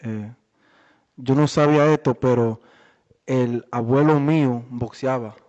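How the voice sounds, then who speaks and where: A man's voice: medium pitch, steady tone, slow pace, darkish tone. A man with a Dominican accent in Spanish, answering interview questions conversationally as a boxer and guest. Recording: echoey, muffled room recording.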